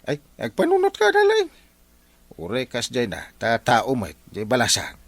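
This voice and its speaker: Guy doing excitable ilocano village man in a comedy drama, very swoopy delivery, bossing his pal around. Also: mid-range voice, normal speed, no room sound. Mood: exasperated.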